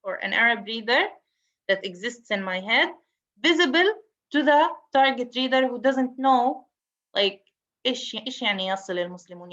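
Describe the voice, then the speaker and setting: A woman's voice: mid-range voice, fairly bright tone, highs cut off. A woman with an Arabic accent, a native Arabic speaker, lecturing on translation and explaining step by step, often restarting her words. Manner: explanatory, repeats and restarts words, switches between English and Arabic. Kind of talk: university lecture.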